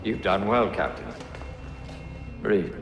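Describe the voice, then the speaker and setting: Low, imperious villainous grand master. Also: male voice, animated. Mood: cold, contemptuous. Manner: deliberate, imperious. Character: cold, commanding male grand master villain. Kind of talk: video game cutscene acting. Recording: echoing room under loud music.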